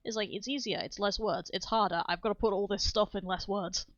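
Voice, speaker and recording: A woman's voice: mid-range voice, fairly even intonation, fairly quick, dry recording. A woman streaming, chatting casually and rambling, laughing often and swearing freely. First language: not Swedish. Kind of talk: casual chat on a writing stream. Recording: dull lo-fi sound with faint music.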